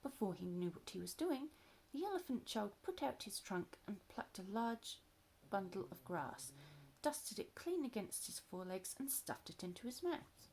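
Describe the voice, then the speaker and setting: A woman reading a children's story aloud in an expressive, playful storytelling manner. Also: medium pitch, moderate pace, hardly any pauses, slight background noise, low-quality recording.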